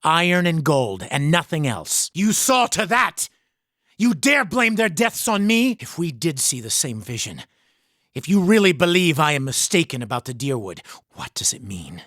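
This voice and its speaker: An actor playing a proud, devout and haunted mercenary commander in a fantasy video game, speaking in a grave, formal, accusatory manner. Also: male voice, fairly high pitch, big pitch swings, normal speed, fairly bright tone, no room sound, clean studio sound. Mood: angry, accusing. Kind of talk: dramatic fantasy game voice acting.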